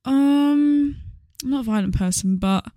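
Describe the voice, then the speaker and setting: A woman's voice: high-pitched, unhurried, dark tone, dry recording, clean studio sound. A young woman co-hosting a podcast, chatting casually in a playful, questioning way. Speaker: podcast co-host. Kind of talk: casual chat, reacting to stories.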